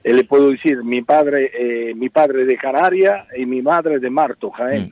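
A man's voice: medium pitch, a little slow, darkish tone, no room sound. Spanish man with Italian accent, dull sound. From Spain. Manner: hesitant, repeats words, says "mire" often. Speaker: circus owner. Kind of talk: radio interview answers.

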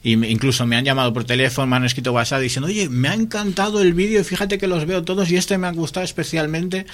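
A man with a Spanish accent from Spain, chatting casually and telling anecdotes in an animated way, often quoting people. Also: fairly high pitch, fast talker. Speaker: guest, science video creator.

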